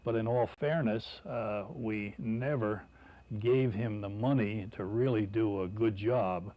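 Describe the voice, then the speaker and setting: An older man with a somewhat low voice gives an interview recollection. He speaks deliberately and haltingly, often pausing to find the next phrase. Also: warm tone. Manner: halting, deliberate, with frequent hesitations.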